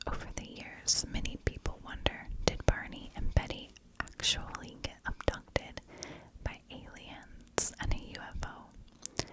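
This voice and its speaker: A woman whispers her way through an ASMR true crime narration. She takes it very slowly, phrasing softly and deliberately with gaps between short phrases. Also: low-quality recording. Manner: soft, unhurried, deliberate phrasing with pauses.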